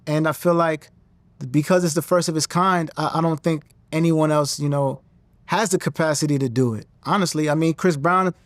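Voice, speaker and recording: A man, talking in an interview in a confident, animated way and often restarting his sentences. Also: fairly high pitch, normal speed, dry recording. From Nigerian-American. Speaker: interview guest, singer and actor. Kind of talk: casual interview talk.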